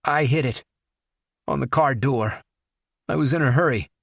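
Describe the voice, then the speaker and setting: A man's voice: medium pitch, moderate pace, gravelly, fairly bright tone, no echo, studio quality, pitched above the performer's own voice. A man voicing a nervous, evasive accountant caught out.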